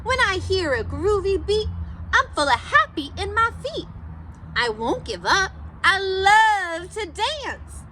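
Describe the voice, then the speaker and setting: A woman voicing a groovy, upbeat young male storybook cat for children, with a drawn-out, rhythmic, earnest delivery. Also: extremely high pitch, big pitch swings, sharp tone, slight background noise, voice pushed up, exaggerated voice. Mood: upbeat, determined. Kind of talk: storybook read-aloud for children.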